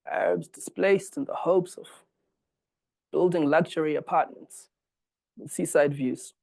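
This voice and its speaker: A man from Zimbabwe in his forties giving a formal public lecture, reading from a text in a measured, earnest way. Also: a little high, creaky, a little mellow.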